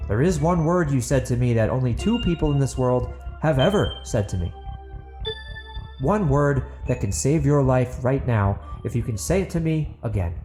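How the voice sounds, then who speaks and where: Man voicing a shrewd, measured grand chieftain. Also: mid-range voice, warm tone, natural voice. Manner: measured, formal, probing. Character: shrewd, deliberate bearded male grand chieftain.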